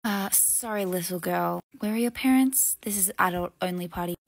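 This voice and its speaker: A woman's voice: on the low side, moderate pace, vocal fry, no echo. A woman voicing a nervous party doorman in a game roleplay who stammers through apologies. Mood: stern.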